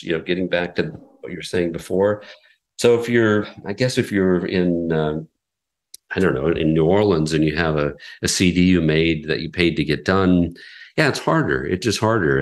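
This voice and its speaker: Low-voiced man, easygoing radio executive. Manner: easygoing, rambling with restarts and chuckles. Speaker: radio station president, guest. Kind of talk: conversational interview.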